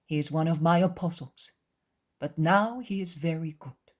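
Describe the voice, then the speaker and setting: A woman voicing a gentle, patient male religious teacher in a story, calmly and kindly admonishing. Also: deep voice, normal speed. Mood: calm, correcting.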